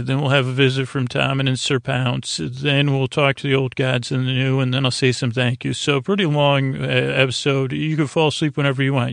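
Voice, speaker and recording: A man with a gravelly, creaky voice rambling as host of a sleep podcast, halting and stumbling over words as the thought wanders. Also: mid-range voice, a bit bright, no room sound, studio quality.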